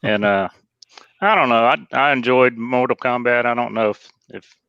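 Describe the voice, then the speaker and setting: Creaky-voiced man on a lo-fi call. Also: medium pitch, moderate pace, no echo. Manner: hesitant, unpolished. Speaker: caller. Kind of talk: casual chat. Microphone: internet call. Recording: dull lo-fi internet call.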